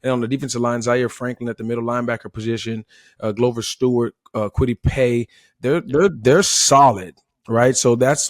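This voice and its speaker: A man's voice: mid-range voice, no room sound, studio quality. An American man analysing football off the cuff as a former player, emphatic and often checking in with "right?".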